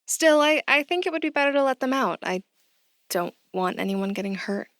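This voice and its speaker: A woman playing an earnest, soft-hearted job candidate in a naturalistic audio drama, tentative and thinking aloud. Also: sits high, expressive, moderate pace, no echo, studio quality. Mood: hesitant, earnest.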